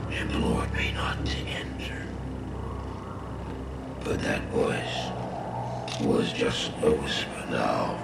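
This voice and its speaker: An actor playing a frail, half-mad male wanderer in a game cinematic, telling of horrors in a halting, dramatic, gravelly and sometimes breathy voice. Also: very deep voice, exaggerated voice. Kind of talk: theatrical scripted narration. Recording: echoey, distant, under loud cinematic music.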